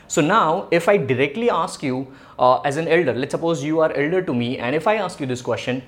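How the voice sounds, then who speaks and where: A man's voice: on the high side, brisk. A man in his thirties with an Indian English accent, explaining a topic in a casual, chatty video, laughing between points and asking rhetorical questions. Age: 30s. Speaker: online marketing educator.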